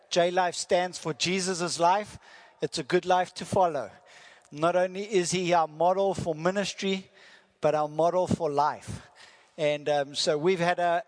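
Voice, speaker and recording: A South African man preaching as a visiting missionary, friendly and joking, asking the congregation questions. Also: sits high. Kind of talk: sermon with prayer. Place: church hall. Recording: church hall reverb.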